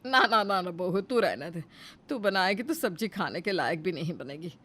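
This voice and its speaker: A woman voicing a sharp-tongued, doubting mother-in-law with a scolding, exclaiming manner in a moral story. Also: lowish voice, animated, moderate pace, pitched below the performer's own voice. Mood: scornful.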